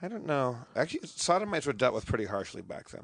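A man's voice: medium pitch, very animated, fast talker, gravelly, a bit bright, husky, no room sound. A man co-hosting a casual podcast, trading crude jokes and musing aloud. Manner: crude, joking banter with bursts of indignation. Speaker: podcast co-host.

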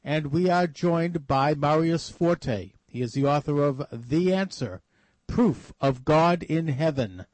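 Halting radio talk host, dry sound. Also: male voice, on the high side, lively intonation, darkish tone, dry recording, poor audio. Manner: halting, many restarts and fillers. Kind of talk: radio interview.

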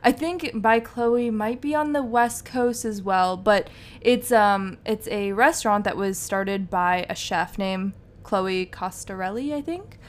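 A woman co-hosting a casual podcast, soft-spoken and laughing easily as she chats. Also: mid-range voice, unhurried. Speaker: podcast co-host. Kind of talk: casual chat.

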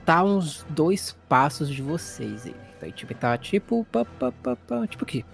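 A man's voice: fairly high pitch, very animated, moderate pace, creaky, over music. A man with a Brazilian accent narrating a tabletop role-playing game as game master, colloquial and explanatory.

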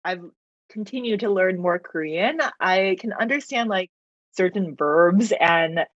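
A woman whose first language is Mandarin talks casually as a podcast guest, her voice sitting on the low side. She is chatty and quick to laugh, with plenty of fillers. The recording is dull and lo-fi, with a dry sound. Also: dry recording. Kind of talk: casual interview chat.

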